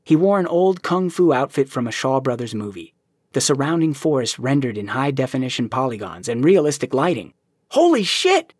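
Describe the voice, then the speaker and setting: Husky male audiobook narrator. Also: mid-range voice, expressive, brisk, darkish tone, no echo, clean studio sound.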